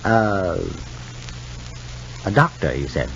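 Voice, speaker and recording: An actor playing a stern, formal father in a 1950s radio soap, questioning in a measured, halting way. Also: low-pitched, sing-song, heavily acted. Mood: suspicious, probing. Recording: dull, noisy 1950s radio recording.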